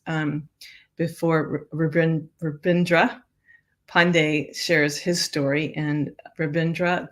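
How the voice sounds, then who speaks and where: A woman's voice: lowish voice, fairly bright tone. An older woman hosting an interview show, talking casually with many fillers and restarts. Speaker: host.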